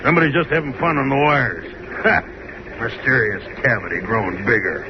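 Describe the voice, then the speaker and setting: A man's voice: medium pitch, very animated, fairly quick, lightly acted. Male actor as mocking news chief, brusque, wisecracking, old radio drama, muffled noisy sound. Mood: mocking, dismissive.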